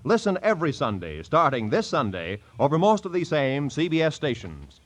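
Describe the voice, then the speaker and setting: A man's voice: on the high side, very animated, moderate pace. A man with an American accent announcing and promoting programs in a polished 1940s network radio style.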